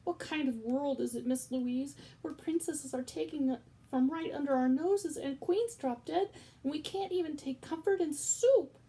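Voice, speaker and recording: A woman voicing a fierce middle-aged female cook from a storybook, loud and shrill with wailing outbursts. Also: moderate pace, vocal fry, far from the mic. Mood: despairing outburst.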